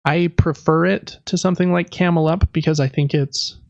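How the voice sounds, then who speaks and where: A man in his thirties chats as a podcast co-host in an easy, explanatory way. His voice sits a little high with a creaky edge. The sound is dry and somewhat muffled. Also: steady tone, normal speed, a little mellow, no echo. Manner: conversational, explanatory. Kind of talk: casual chat about board games.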